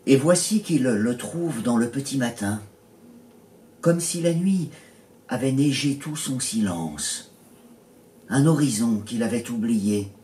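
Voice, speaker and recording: A man reading his poetry in a literary style, measured and pausing between short phrases. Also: mid-range voice, lively intonation, warm tone. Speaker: poet reading own poems. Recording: roomy echo, distant mic, faint noise.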